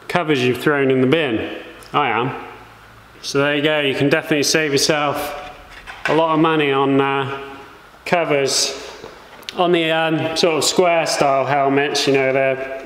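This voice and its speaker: A man in his thirties casually showing a how-to in his workshop, relaxed and full of fillers. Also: mid-range voice. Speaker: welding fabricator, channel host. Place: workshop with bare walls, indoors. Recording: echoey workshop, some noise.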